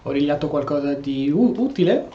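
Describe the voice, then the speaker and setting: Animated Italian man, echoey recording. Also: on the high side, quick pace. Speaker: gaming streamer. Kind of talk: casual gameplay commentary with role-play reading of subtitles. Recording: muffled, echoey, faintly noisy room.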